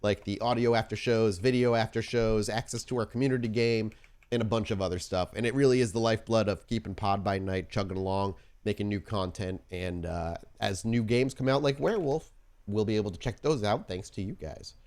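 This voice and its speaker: Male game storyteller, lowish voice, informal podcast hosting that moves into deliberate narrative scene-setting. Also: darkish tone.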